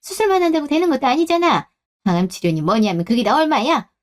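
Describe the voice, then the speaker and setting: A woman's voice: high voice, big pitch swings, fast, voice pushed up. A woman playing an overbearing older mother-in-law, harsh, nagging and indignant, in a radio drama. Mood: indignant, scolding. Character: scolding, overbearing older mother-in-law, quick to take offense. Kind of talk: Korean radio drama acting.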